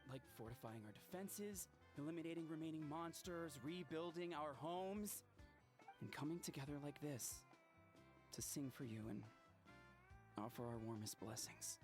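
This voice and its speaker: A man's voice: a little high, expressive, moderate pace, natural voice. A man playing an earnest, hopeful young man in a video game, speaking encouragingly to rally his people. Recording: music clearly behind the voice.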